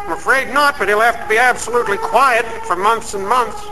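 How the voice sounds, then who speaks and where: An actor playing a grave doctor in a radio drama. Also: male voice, very high voice, a little fast. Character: grave doctor answering questions about a patient.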